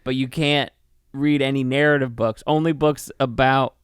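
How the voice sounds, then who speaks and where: Man, podcast co-host, casual comic banter, deadpan, mid-range pitch, clean voice, no room echo. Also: moderate pace, smooth, clean studio sound.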